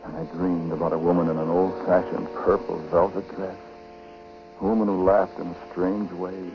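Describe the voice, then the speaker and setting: An actor playing a haunted, uneasy husband, narrating a dark story in a halting, conversational 1940s radio style. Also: male voice, sits low, little pitch movement, over music. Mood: brooding, haunted. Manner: halting, conversational, brooding delivery. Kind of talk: 1940s radio drama acting and first-person narration. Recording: muffled, noisy vintage 1940s radio broadcast.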